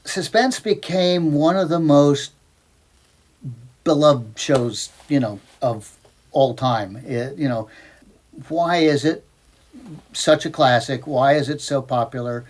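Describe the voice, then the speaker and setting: A man's voice: a little high, slow, long pauses. A man speaking as a host, introducing a program and interviewing a guest. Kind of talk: podcast-style introduction and interview.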